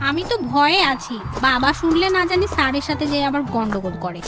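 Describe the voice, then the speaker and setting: An actor voicing an anxious, earnest village schoolboy in an animated story, plaintive in manner. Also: high-pitched, fast, over music.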